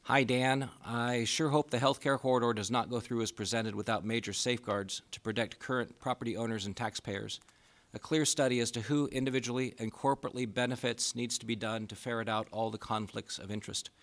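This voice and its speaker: A man's voice: medium pitch, fairly even intonation, brisk, a bit bright. Man reading a letter aloud evenly.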